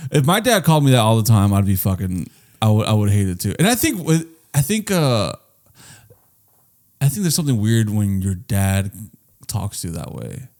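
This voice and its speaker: A man's voice: on the low side, big pitch swings, normal speed, darkish tone. A man in his late twenties co-hosting a podcast, rambling and emphatic in casual chat, swearing often. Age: late 20s. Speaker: podcast co-host.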